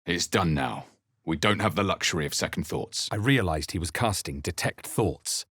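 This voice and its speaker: An actor playing a grave, brooding male fantasy game hero, speaking in a measured, serious and intense way. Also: low voice, lively intonation, fairly bright tone, breathy edge, no echo, studio quality, heavily acted. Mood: terse, wary. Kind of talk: dramatic scripted fantasy game narration and character lines.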